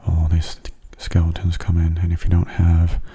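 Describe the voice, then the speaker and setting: A man giving soft-spoken ASMR commentary over a game in a hushed, breathy murmur, calm and unhurried, close to the microphone. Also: sits low. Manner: calm, unhurried, musing aloud. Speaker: gaming ASMR host.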